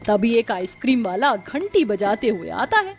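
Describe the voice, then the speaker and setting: A woman's voice: a little high, very animated, normal speed, a little mellow, soft background music. A woman narrating a scripted family story as storyteller.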